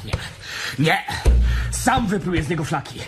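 An actor playing a vengeful, hot-tempered young ranch husband in a radio drama, barking coarsely and aggressively. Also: male voice, a little high, animated, measured pace, over music. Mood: vengeful rage.

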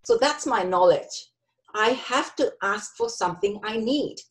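A woman's voice: medium pitch, lively intonation, a little slow. A middle-aged woman from Japan with a Japanese accent, presenting a workshop over a video call and phrasing things carefully, with frequent ums and restarts. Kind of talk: online workshop talk with slides.

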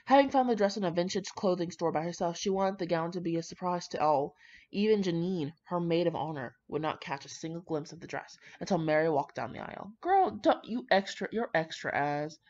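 Low-voiced, brash woman reading aloud casually. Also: animated, a little fast, a little mellow. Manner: brash, sweary, digressive. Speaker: fan fiction reader. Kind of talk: casual read-aloud with running commentary. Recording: slightly dull lo-fi home recording.

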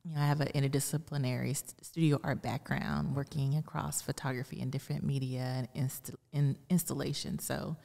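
A woman's voice: a bass voice, flat delivery, brisk, vocal fry, few pauses, mellow. A middle-aged American woman from Texas giving casual conversational answers as an interview guest. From Houston, Texas, United States. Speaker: art curator, interview guest. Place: indoor art gallery. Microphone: close podcast mic on boom arm, headphones. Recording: reverberant gallery room.

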